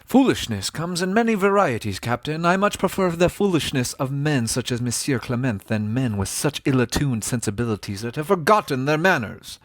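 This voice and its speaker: A man acts an authoritative nobleman in a period audio drama. His pitch moves freely in a dry, close sound. He speaks with stately formality. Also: big pitch swings, moderate pace, hardly any pauses, no echo, natural voice. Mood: firm, courtly. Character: authoritative, courtly duke hosting his guests.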